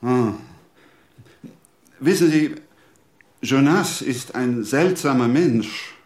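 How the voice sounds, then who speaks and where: A worried townsman speaks slowly and hesitantly in a roomy, echoing space. His concern is plain, in radio play style. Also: medium pitch. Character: worried townsman anxious about his missing bookseller neighbour. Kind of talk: radio drama dialogue.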